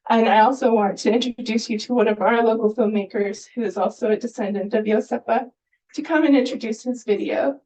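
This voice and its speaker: A woman's voice: fairly high pitch, steady tone, fairly quick, dark tone, poor audio. A woman from Hawaii giving an informal presentation as host at a public event. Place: indoor event hall, speaking at a podium.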